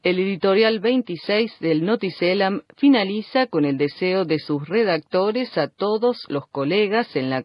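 A woman's voice: medium pitch, no room sound. Woman reading radio news, dry, dull sound. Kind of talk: scripted news narration.

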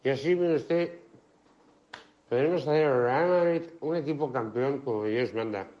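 A man's voice: medium pitch, moderate pace, natural voice. A man voicing a pompous, brazen football club boss in a satirical phone-in message, with a declamatory manner. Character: pompous brazen Real Madrid boss, parody prank caller. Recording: dull lo-fi voice message.

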